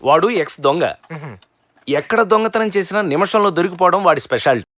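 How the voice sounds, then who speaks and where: Brusque, abusive film man male actor, high voice, very rapid delivery. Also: expressive, fairly bright tone, no room sound. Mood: mocking.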